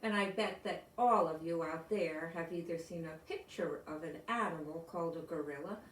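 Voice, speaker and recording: An older woman with an American accent reading a children's story aloud, deliberately and explaining things as if to young listeners. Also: low voice, slight background noise. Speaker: storybook reader. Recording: roomy echo, distant from microphone.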